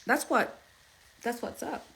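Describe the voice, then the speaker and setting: Zimbabwean woman, relationship coach, emphatic and lively, keeps checking in with listeners. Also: takes it slowly. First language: Shona. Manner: emphatic, lively, laughs often, repeats "okay?" and "do you understand?". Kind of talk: casual advice talk.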